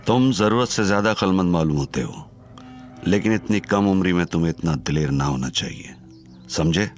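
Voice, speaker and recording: An actor playing a stern, menacing crime boss in an audio drama, deep and commanding. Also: male voice, lively intonation, normal speed, faint music behind. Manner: stern, threatening, scornful. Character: menacing Chinese crime boss, older man.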